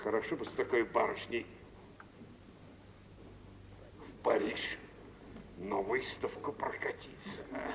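An actor playing a wealthy middle-aged businessman in a 1940s Russian radio play, condescending and probing, speaking in a deliberate, insinuating way. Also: lowish voice, natural voice. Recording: dull, hissy old radio recording.

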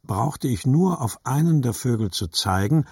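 A man's voice: medium pitch, lively intonation, a little slow, warm tone, slightly rough, no room sound, studio quality. A man narrating literary fiction for an audiobook in a scripted reading style.

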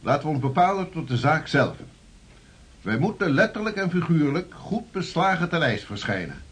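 Man as curt, husky preacher, slightly high. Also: sing-song, normal speed, fairly bright tone, exaggerated voice. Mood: stern. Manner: crisp, dismissive. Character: stern preacher in a debate. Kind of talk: radio play acting.

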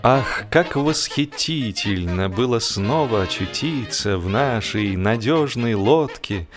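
A man's voice: mid-range voice, expressive, slow, over music. Theatrical male narrator of humorous fiction. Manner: theatrical, stretches key words for emphasis. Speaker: audiobook narrator. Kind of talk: dramatised humorous literary narration.

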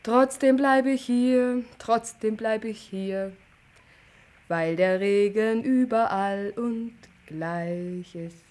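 A woman's voice: on the high side, takes it slowly, darkish tone. An actress playing a dreamy, clinging young woman in a German radio play, plaintive and in love. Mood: wistful.

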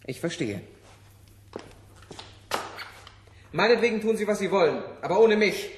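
A man's voice: high-pitched, animated, fast talker, roomy sound, slight background noise. An actor playing a stubborn, alarmed male physicist who speaks bluntly and insistently in a 1970s German radio drama. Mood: bitter resignation.

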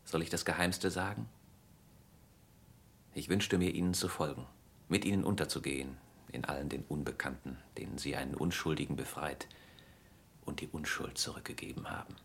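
Very deep, gravelly courtly gentleman. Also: fairly even intonation, full character voice. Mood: tender confession. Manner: measured, confessional, formal. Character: courtly, cynical gentleman humbled by secret adoration. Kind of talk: German radio play acting.

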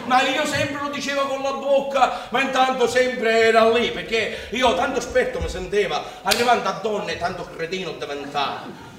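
A man's voice: very high-pitched, normal speed, recorded from a distance, background noise. An actor playing a naive, boastful young Sicilian farmhand, telling his life in earthy dialect as a theatrical stage monologue. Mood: wry, rueful. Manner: earthy, colloquial, ungrammatical dialect storytelling. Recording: echoey theatre stage from afar, music behind.

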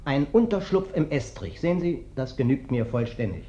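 Radio drama: male actor as hulking ex-wrestler tramp, folksy, ingratiating, quietly sly; medium pitch, muffled vintage recording. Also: very animated, moderate pace, a little hiss or hum, natural voice. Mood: sly, dreamy. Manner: wheedling, over-polite, folksy. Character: huge ex-wrestler vagrant, ingratiating, sly, sentimental. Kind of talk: classic German radio play acting. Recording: dull, band-limited old radio recording.

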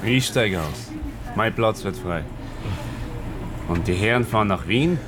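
A deep-voiced blacksmith. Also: male voice, animated, unhurried, over music. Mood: matter-of-fact. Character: plain Austrian blacksmith on a train. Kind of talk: radio drama acting.

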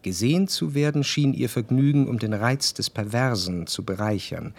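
A man playing an introspective narrator recalling his past love in a literary radio drama, measured and reflective. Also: mid-range voice, gravelly, darkish tone.

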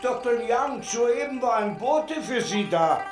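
An actor playing a deferential servant announcing callers in a radio drama. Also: male voice, very high voice, animated, a little slow, music in the background. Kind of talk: German radio drama acting.

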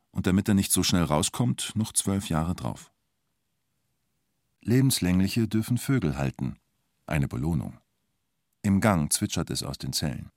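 A man's voice: deep voice, darkish tone, no echo, clean studio sound. A man playing the detached narrator of a true-crime radio drama, speaking in terse, matter-of-fact sentences.

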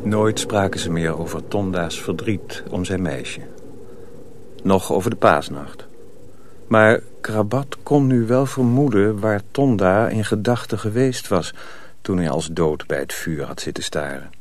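A man's voice: sits low, a little slow. A man narrating a radio drama as a storyteller, reading scripted prose dramatically.

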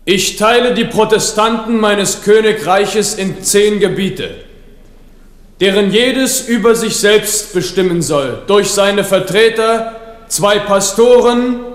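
A man playing a French king who proclaims his will in a declamatory radio drama style. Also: high-pitched. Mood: solemn, commanding. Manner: declamatory, measured public proclamation. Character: King Henri of France, proclaiming ruler. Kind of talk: 1970s German radio drama acting. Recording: echoing large hall.

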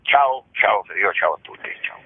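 A man's voice: fairly low pitch, lively intonation, brisk, creaky, breathy edge, no echo. A man, a theatre director and actor, talking as a radio interview guest and searching thoughtfully for his words as he explains. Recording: tinny phone line.

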